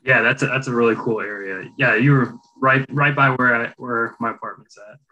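A man's voice: medium pitch, fairly even intonation, fairly quick, pushed and bright, highs cut off. A man interviewing a guest, chatting casually and asking curious follow-up questions.

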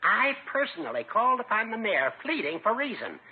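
An actor playing a pompous, sympathetic older small-town judge in a 1950s radio comedy, speaking in flowery, formal language. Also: male voice, high voice, very animated, a little fast, breathy edge, full character voice. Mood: grave, indignant. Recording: muffled, narrow-band 1950s radio recording.

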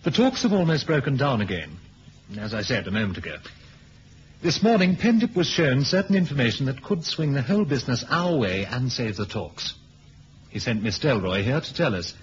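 A man's voice: medium pitch, very animated, moderate pace, slight background noise, close to an everyday voice. Male actor as officious British spy boss, swooping intonation, clipped, exasperated, old radio play, dull lo-fi sound. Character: fussy, exasperated male British intelligence chief. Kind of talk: 1970s radio drama acting.

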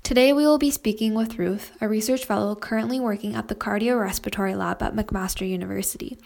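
A woman's voice: mid-range voice, steady tone, fast talker, creaky, a little mellow. A woman hosting a podcast, reading a scripted introduction. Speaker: podcast host.

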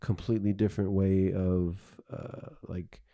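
Male podcast host, low mellow voice, rambling casual talk. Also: flat delivery, measured pace. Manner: rambling, thinks aloud with restarts.

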